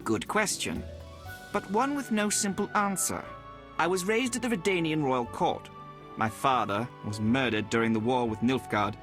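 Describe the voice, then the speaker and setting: A man playing a calculating young royal heir in a fantasy game. The delivery is formal and self-assured, swinging widely in pitch at a middling register. Faint music sits behind it. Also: expressive, moderate pace, close to an everyday voice. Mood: shrewd, self-assured. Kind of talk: video game dialogue acting.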